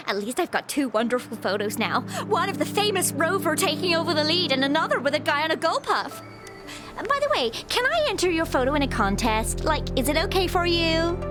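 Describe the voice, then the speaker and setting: A woman voicing an excitable, chatty young photography fan in a video game. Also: high-pitched. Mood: disappointed, then eager. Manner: gushing, chatty. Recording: loud music behind the voice. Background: loud background music.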